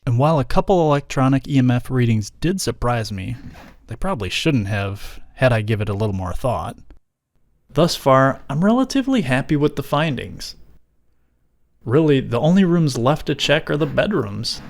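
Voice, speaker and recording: A middle-aged man narrating a scripted explainer in measured, formal wording with dry, wry asides. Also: medium pitch, very animated. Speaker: video creator and narrator.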